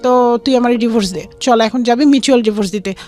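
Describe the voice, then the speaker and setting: Young Bangladeshi woman as interview guest, very quick personal storytelling with quoted dialogue, soft music underneath. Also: on the high side. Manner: mixes in English words. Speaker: guest telling her life story.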